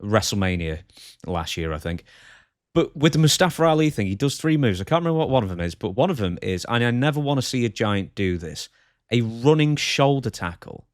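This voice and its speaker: A man's voice: mid-range voice, very animated, a little fast, no echo, clean studio sound. A man chatting casually as the co-host of a podcast. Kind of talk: casual chat.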